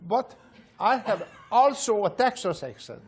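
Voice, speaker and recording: An older Hungarian man speaks in slow, broken English with a strong Hungarian accent. He pauses often and laughs between his phrases. His voice sits a little high for a man. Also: very animated. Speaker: panelist. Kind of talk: casual storytelling.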